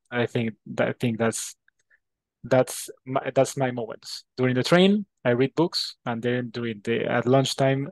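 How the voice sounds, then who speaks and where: Peruvian man, halting conversational delivery. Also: medium pitch, dry recording. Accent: Peruvian Spanish. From Peru. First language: Spanish. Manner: halting, often repeats words. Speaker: interview guest. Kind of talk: conversational interview answers.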